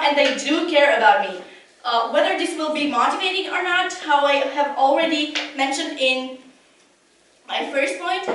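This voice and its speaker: A woman's voice: high-pitched, fairly bright tone. A young woman whose first language is not English, giving an earnest competitive debate speech with frequent restarts. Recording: echoey hall from far off.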